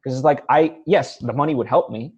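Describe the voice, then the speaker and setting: A man's voice: mid-range voice, brisk, a little mellow, breathy edge. Guy hosting a casual interview, kinda hesitant and earnest, mid voice, bit muffled. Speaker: interview host. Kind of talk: casual one-on-one interview conversation.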